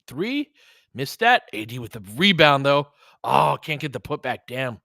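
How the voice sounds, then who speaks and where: A man's voice: mid-range voice, sing-song, unhurried, vocal fry, pushed and bright, dry recording, clean studio sound. A man doing casual basketball play-by-play as a stream host, in clipped phrases with chatty asides to listeners.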